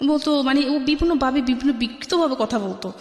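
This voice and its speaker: Woman, high voice, Bangladeshi accent, colloquial personal storytelling, quotes conversations, long reverb. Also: animated, brisk. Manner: recounts conversations by quoting both sides. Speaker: guest telling her life story.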